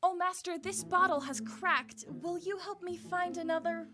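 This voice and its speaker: A woman's voice: very high-pitched, fairly even intonation, normal speed. An actress playing a shrewd, loyal female slave in a radio drama, speaking formally and watchfully. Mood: urgent. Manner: formal, deferential.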